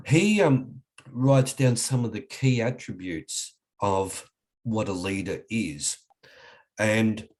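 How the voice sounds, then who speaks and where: A middle-aged Australian man talks through a webinar on a computer mic, his voice a bit husky as he gets over a cold. He speaks in a fairly low register and often stops to restart his sentences. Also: darkish tone. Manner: halting, frequent restarts and fillers. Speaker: webinar presenter. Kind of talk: online webinar lecture.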